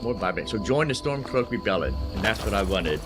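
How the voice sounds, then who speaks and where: Low-voiced man rambling over game music. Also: lively intonation, fairly quick. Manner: repeats phrases, thinks aloud. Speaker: gaming video host. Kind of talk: casual rambling gameplay commentary. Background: game music and sounds.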